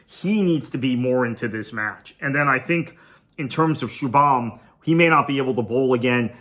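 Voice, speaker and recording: Bright-voiced man previewing cricket. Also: mid-range voice, normal speed. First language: Tamil. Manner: thinking aloud, conversational. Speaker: podcast host. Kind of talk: solo cricket preview commentary.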